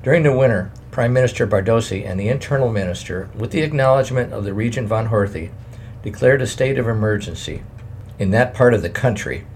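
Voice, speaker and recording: A man reading a wartime memoir aloud in a plain, measured way, adding his own editor's notes. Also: lowish voice, darkish tone, slight background noise.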